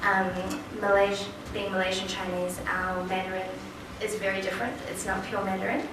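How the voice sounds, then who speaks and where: A woman's voice: medium pitch, a little fast. A woman in her twenties with a New Zealand accent, telling a chatty personal story as a speaker at a forum. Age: 20s. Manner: chatty, lively storytelling with quoted asides. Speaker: panel speaker. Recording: echoey hall from far off, noisy.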